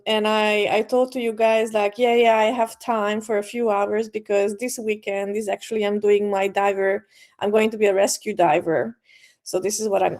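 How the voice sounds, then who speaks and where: A middle-aged woman, born in Hungary and raised in Brazil, gives an informal tech presentation at a user group. She speaks slowly, on a higher pitch with a gravelly fry, and stops often for fillers and restarts. Her phrasing is loose and conversational. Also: a little mellow. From born in Hungary, grew up in Brazil. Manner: halting, many fillers and restarts.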